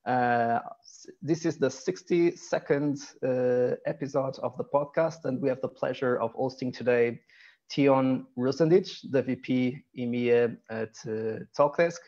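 A man hosting a live video podcast over a video call, introducing his guest with a halting, hesitant delivery. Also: mid-range voice, darkish tone, highs cut off. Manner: halting, many ums and restarts. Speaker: podcast host.

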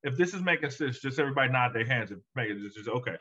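Man, guest consultant, emphatic, pitch rising on key points, muffled call sound. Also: lively intonation, racing, pushed and bright, husky. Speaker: education consultant, guest author. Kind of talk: conversational interview answers. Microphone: call audio.

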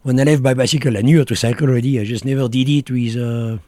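A middle-aged Frenchman who speaks English with a French accent, answering questions in a relaxed, casual interview. His voice is mellow and dark, and he talks bluntly in short, broken phrases. The sound is dry and close to the mic. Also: mid-range voice, fast talker, no room sound. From France. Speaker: guest.

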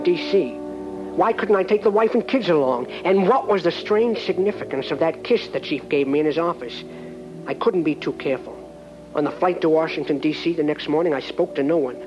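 A man's voice: fairly high pitch, highs cut off, natural voice. A man narrating as a jittery, earnest cop. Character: earnest, naive small-town male cop narrator. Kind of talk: comic hard-boiled narration.

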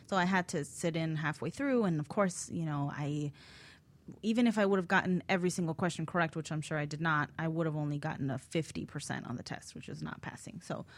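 A woman telling a personal story on a talk show, acting out her own past thoughts in a lively way. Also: low-pitched, fairly quick. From Miami. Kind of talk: casual storytelling.

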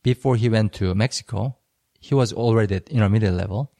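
A man co-hosting a podcast, giving steady, measured advice. His voice is low and gravelly, with a mellow, dark tone. The sound is dry and close. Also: no room sound. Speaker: podcast co-host. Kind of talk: casual conversational podcast.

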